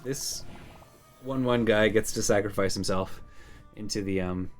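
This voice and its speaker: Male game host, lowish register, casual think-aloud play commentary, light music underneath. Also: unhurried. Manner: thinks aloud, weighing options.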